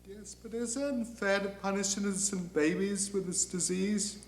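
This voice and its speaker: Man playing a meek, softly doubting angel. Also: sits high, moderate pace, slight background noise. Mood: meek, doubtful. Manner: hesitant, mild, deferential questioning. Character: meek, polite angel Gabriel, male, gently questioning. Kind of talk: satirical stage monologue acting. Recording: echoey room.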